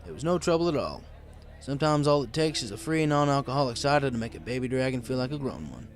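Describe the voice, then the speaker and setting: A man's voice: mid-range voice, sing-song, quick pace. A man reading a story aloud as first-person narrator.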